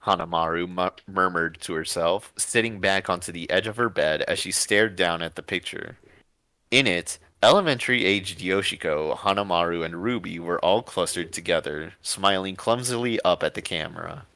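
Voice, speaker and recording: A man's voice: low-pitched, normal speed, bright, forceful tone, no room sound. A man reading a story aloud with casual asides, sometimes stumbling over his words and correcting himself. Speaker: story reader and show host.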